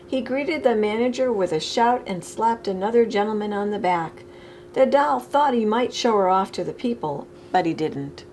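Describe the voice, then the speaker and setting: Woman, storytime reader, expressive picture book narration, deliberate phrasing, mid-range pitch, faint background noise. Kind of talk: expressive children's picture book read-aloud.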